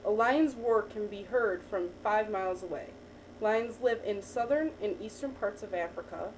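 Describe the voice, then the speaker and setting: A woman teacher reading a picture book aloud to a child, pausing to ask questions and praise the answers. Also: medium pitch, darkish tone. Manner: patient, encouraging, asks and praises. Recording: distant mic with faint background noise.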